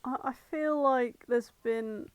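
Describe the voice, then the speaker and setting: A woman's voice: high-pitched, slow pace, dry recording. A woman co-hosting a podcast in casual chat, playful, irreverent and sweary. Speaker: podcast co-host.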